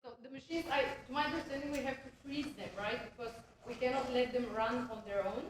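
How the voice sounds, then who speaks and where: High-pitched woman in an echoey room. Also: fairly even intonation, fairly quick, noisy background. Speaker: audience member asking a question. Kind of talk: spontaneous audience question. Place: lecture event. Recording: echoey room, uneven miking.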